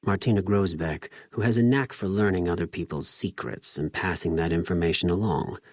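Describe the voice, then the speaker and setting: An actor playing a cold, cunning spy of a Roman-styled slaver army in a video game, speaking in a measured, formal, menacing way with precise diction. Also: male voice, sits low, a little mellow, husky, dry recording, studio quality. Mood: cool, calculating. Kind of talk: scripted video game character dialogue.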